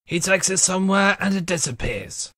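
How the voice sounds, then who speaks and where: A man's voice: high voice, expressive, moderate pace, a bit bright, no echo, clean studio sound. A man voicing a gloating, scheming cartoon tugboat bully with slangy taunts.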